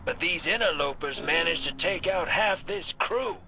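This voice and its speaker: An actor playing a shrewd, wary investigator in a video game, observant and matter-of-fact. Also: male voice, on the high side, animated, moderate pace, slight background noise. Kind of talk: video game character acting.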